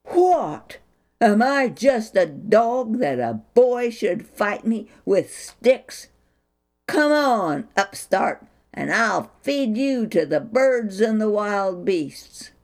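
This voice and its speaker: A woman voicing a boastful giant warrior in a Bible storybook reading, with slow, loud taunts. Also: medium pitch, sing-song, creaky, heavily acted. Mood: enraged.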